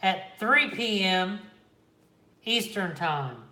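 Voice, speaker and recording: A man's voice: high voice, expressive, very slow, bright, forceful tone. Easygoing midwestern guy talking to his livestream chat, echoey room at home. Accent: Midwestern American. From Kansas, Oklahoma, Missouri area. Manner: laid-back, reads and answers live chat. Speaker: reptile channel host. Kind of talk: casual livestream chat with viewers.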